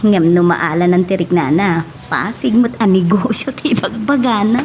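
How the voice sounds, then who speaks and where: A woman's voice: medium pitch, normal speed, darkish tone, slight background noise, natural voice. A woman with an Ilocano Filipino accent playing a friendly, coy young office worker in a radio love drama. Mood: friendly, bashful.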